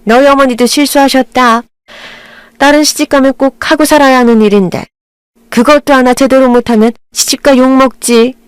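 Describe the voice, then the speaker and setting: A woman's voice: sits high, fairly even intonation, moderate pace, clear, resonant tone, no echo, pitched above the performer's own voice, natural voice. A woman voicing a domineering older mother-in-law who scolds and belittles her daughter-in-law. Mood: scolding. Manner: domineering, scornful.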